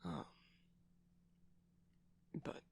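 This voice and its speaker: A man speaking softly and breathily in an ASMR boyfriend roleplay as a shy, gentle vampire, hesitant and often slipping into whispers. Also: very slow. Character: shy, gentle pastel vampire boyfriend showing off plush toys.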